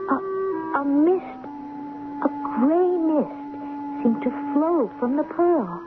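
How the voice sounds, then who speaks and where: An actress playing a dreamy, wide-eyed young heroine in a radio drama, speaking with entranced wonder. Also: female voice, fairly high pitch. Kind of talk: 1970s radio drama acting, narrating a vision. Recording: lo-fi old radio broadcast, clear noise.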